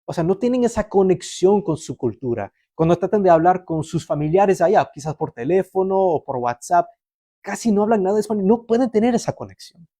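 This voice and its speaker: Higher-voiced man preaching, mellow tone. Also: expressive, a little fast, dry recording, clean studio sound. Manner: earnest, explanatory, rhetorical questions and repetition for emphasis. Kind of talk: sermon, conversational storytelling.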